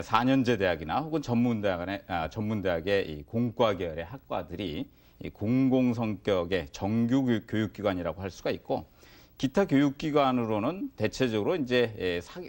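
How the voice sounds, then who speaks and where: A man giving explanatory answers as an expert in an interview. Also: fairly low pitch.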